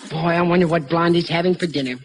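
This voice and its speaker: A man's voice: on the high side, steady tone, fast, lightly acted. An actor playing a good-natured, hapless husband and father in a 1940s radio sitcom, stammering and giggling as he talks. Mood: flustered. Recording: noisy 1940s radio, studio audience behind.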